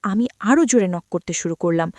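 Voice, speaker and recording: A woman's voice: lowish voice, fairly quick, darkish tone, no echo. A woman narrating a first-person story in a confiding tone, talking to her listeners as friends.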